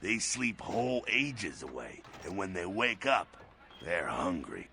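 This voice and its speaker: Ancient anarchist vampire: deep, swinging delivery, irreverent and slangy, video game dialogue. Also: male voice, big pitch swings, unhurried, sharp tone, a little hiss or hum, heavily acted. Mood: ominous. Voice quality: gravelly. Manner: irreverent, mocking, streetwise slangy drawl. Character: centuries-old brash, jovial anarchist vampire.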